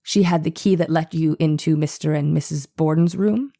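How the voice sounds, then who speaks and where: Probing courtroom attorney, female actor, formal and insistent, low relaxed voice, measured trial-transcript delivery. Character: probing, persistent male defense attorney cross-examining a witness. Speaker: actor reading a lawyer in a trial re-enactment. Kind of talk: dramatized trial transcript reading.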